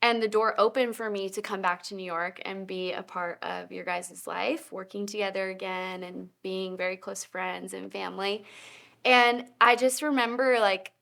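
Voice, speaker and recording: A woman in her late twenties speaks as a guest in a relaxed faith chat, with a bright, clear tone. She tells her story in an earnest, reflective way at an even pace. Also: medium pitch, normal speed. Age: 20s.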